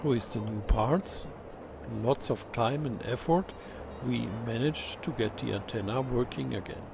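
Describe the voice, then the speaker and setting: Male narrator for technical explainer: unhurried, gravelly, methodical, mid-pitched, with faint music behind. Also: background noise. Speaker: host of a ham radio electronics channel.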